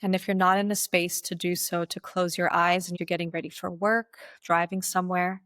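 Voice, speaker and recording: A woman leading a guided meditation as its guide, speaking in slow, deliberate instructional phrases with pauses. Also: lowish voice, level tone, fairly bright tone, no room sound, clean studio sound.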